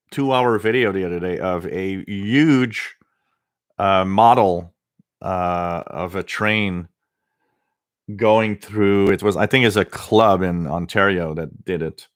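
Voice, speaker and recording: Male host, low voice, dry close sound, loose rambling live chat with plenty of fillers. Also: slow pace, long pauses, no echo. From Quebec. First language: French. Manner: rambling, hesitant with many fillers. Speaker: radio livestream host. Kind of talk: casual live chat reading viewer comments.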